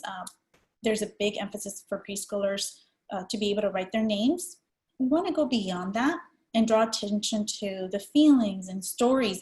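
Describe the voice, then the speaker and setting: A woman's voice: mid-range voice, darkish tone. A woman in her forties from El Salvador, giving an early-education training presentation in an explanatory, personal manner.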